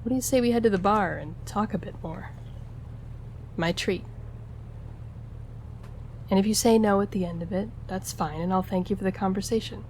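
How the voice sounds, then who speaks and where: A woman's voice: medium pitch, moderate pace, pauses often, darkish tone, slight background noise, lightly acted, rough recording. A woman playing a charming, flirtatious gambler and stowaway in an intimate audio roleplay, teasing and conversational as she speaks to the listener. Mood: persuasive. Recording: music behind the voice.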